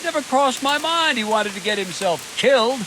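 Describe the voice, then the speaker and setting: An actor playing a gruff, sarcastic veteran soldier who scolds a recruit in a video game drama. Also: male voice, very high voice, animated, moderate pace, background noise. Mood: sarcastic, scolding. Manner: sarcastic, hectoring, foul-mouthed.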